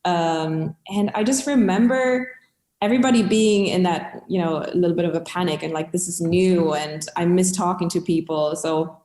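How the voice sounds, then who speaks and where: A woman's voice: fairly low pitch, moderate pace, dark tone, roomy sound. A woman from Germany with a German accent, a customer success team lead, talking in a chatty, upbeat way in an interview. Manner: chatty and upbeat, with frequent fillers. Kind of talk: casual interview talk.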